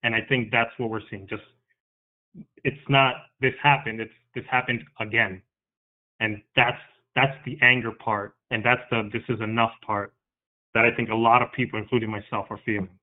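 A man in his thirties from New York, a guest speaking earnestly and haltingly about something that moves him. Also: fairly low pitch, fairly even intonation. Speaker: interview guest. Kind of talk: candid interview talk. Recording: tinny phone-like line.

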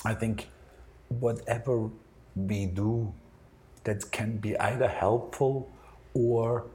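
Male designer interviewee, Austrian accent, native German speaker. Slightly low pitch, reflective and halting, given to anecdote. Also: fairly even intonation, warm tone.

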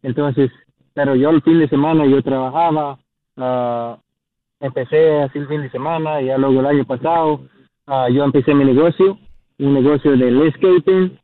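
A man's voice: mid-range voice, normal speed, no room sound. A man in his early thirties with a Guatemalan accent, calling in and speaking casually and hesitantly. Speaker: caller. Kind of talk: casual phone conversation. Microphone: phone call. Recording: tinny narrow phone line.

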